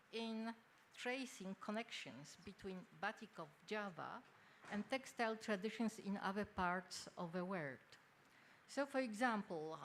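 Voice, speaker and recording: An older woman from Poland with a Polish accent gives a formal conference lecture in halting, hesitant phrases. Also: mid-range voice, fairly bright tone. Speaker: academic speaker, textile researcher. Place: indoor symposium venue. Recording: noisy live symposium room recording.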